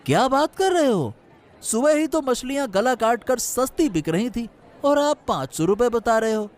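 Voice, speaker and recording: A man's voice: very high voice, sing-song, normal speed. A man voicing a well-off village customer in a cartoon story, talking in a familiar, insistent, bargaining way. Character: haggling, well-off village male fish customer. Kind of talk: Hindi cartoon moral story dialogue.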